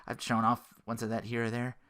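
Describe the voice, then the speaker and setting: A man's voice: medium pitch, completely flat delivery, brisk, pushed and bright. A man hosting a gaming podcast in a casual, rambling, slangy monologue, often acting out imagined quotes. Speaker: podcast host.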